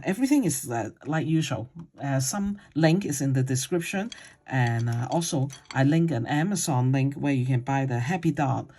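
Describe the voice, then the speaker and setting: Chatty, husky voice. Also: mid-range voice, mellow. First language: Cantonese. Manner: rambling and chatty, with many hesitations and fillers; simple, non-native grammar. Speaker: watercolor art teacher. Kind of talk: casual painting tutorial talk.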